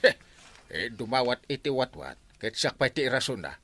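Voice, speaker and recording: An actor playing an excitable, hungry uncle in an Ilocano radio comedy drama, with an Ilocano accent. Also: male voice, medium pitch, moderate pace. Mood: exclaiming. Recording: music under the dialogue.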